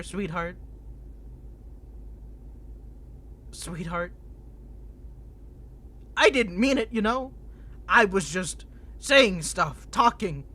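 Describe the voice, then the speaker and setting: A man's voice: sits high, bright, forceful tone, full character voice. A man voicing an unhinged, ancient imprisoned vampire who is flirtatious, needy and manipulative, talking in a theatrical, rambling, teasing way in an audio roleplay. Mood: desperate, pleading. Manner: theatrical, rambling, teasing, swinging between smug and pleading. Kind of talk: dramatic character audio roleplay.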